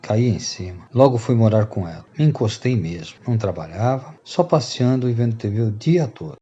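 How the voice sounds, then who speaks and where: A man acts out a reformed Brazilian freeloader confessing to old friends in a radio play. His voice is dark and mellow. The recording is slightly dull and lo-fi. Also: on the low side, lively intonation, brisk, breathy edge. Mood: confessional. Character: repentant rogue and former freeloader confessing to friends. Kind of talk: radio drama storytelling.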